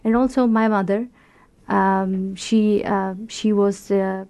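Hesitant woman from Pakistan, mellow voice. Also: medium pitch, steady tone. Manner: hesitant, many pauses and fillers, searching for words. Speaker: interview guest, project founder. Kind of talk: casual interview.

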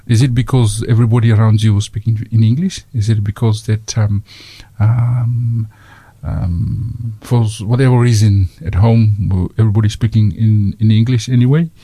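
A man with a Fijian accent hosting a community radio chat, halting with many fillers and slipping into Fijian phrases. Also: on the low side, fairly even intonation, dark tone, slightly rough, dry recording. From Fiji. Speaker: radio host.

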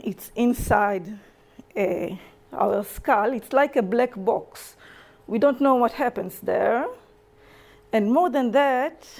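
Young israeli woman giving a talk, slow and careful, highish voice, stumbles and restarts words. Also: darkish tone. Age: young adult. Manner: halting, self-correcting non-native English with restarts. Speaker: lecturer. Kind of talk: public lecture. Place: lecture room.

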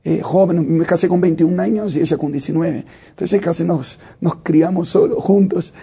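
Worship leader, thirties, male, higher-pitched but husky, reflective with hesitations. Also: moderate pace, warm tone. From Brazil. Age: 30s. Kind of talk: interview answers.

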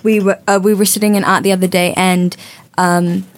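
A teenage girl co-hosting a youth radio chat, telling her stories in a lively way and laughing easily. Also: mid-range voice. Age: teens. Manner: quick to laugh, animated storyteller. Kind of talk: casual chat.

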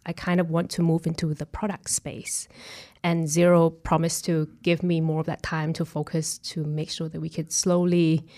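A woman's voice: lowish voice, mellow. A woman from Thailand answering questions casually as a guest in an interview. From Thailand, from Bangkok. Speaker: interview guest, web developer at a software company.

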